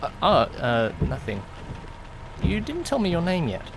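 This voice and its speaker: A man acts out an awkward young driver telling his own story in an audio drama. His delivery is conversational and musing, easily flustered, with pitch that moves around a lot. Rain and vehicle noise run clearly behind him. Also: wildly swooping pitch, measured pace, exaggerated voice. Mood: casual, awkward. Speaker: narrator and lead character. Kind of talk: first-person audio drama narration with acted dialogue. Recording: noisy, rain and car rumble behind. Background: rain and vehicle sounds.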